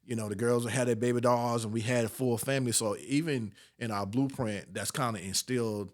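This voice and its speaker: A man's voice: mid-range voice, steady tone, fairly quick. A man in his fifties hosting a casual interview, speaking colloquially and repeating words as he thinks. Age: 50s. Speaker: podcast host.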